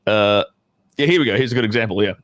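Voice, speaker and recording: A man's voice: fairly low pitch, quick pace, fairly bright tone, no room sound. A man chatting casually and informally to livestream viewers, thinking aloud as he reads from a script, with banter and some mild swearing. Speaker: adult film actor reading a script to viewers.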